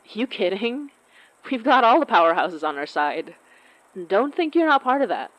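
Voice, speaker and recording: An awkward young woman in an audio drama, apologetic and torn about a close friend. Her pitch moves around a lot, and she stammers and hesitates through her sentences in a natural, conversational way. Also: big pitch swings, moderate pace, no echo, dull audio. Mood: warm, reassuring.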